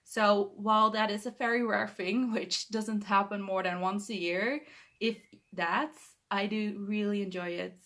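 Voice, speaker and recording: A woman's voice: mid-range voice, fairly even intonation, unhurried, a bit bright. Young Dutch woman, English second language, shy self-correcting vlogger talking alone to camera at home. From Netherlands. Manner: hesitant, self-correcting, laughs shyly. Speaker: booktuber. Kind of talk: casual talk to camera. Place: bedroom.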